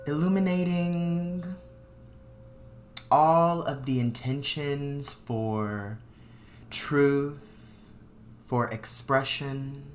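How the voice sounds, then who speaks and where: A meditation guide speaking soothingly and unhurriedly in short phrases with long pauses, leading a guided meditation. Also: medium pitch, slight background noise.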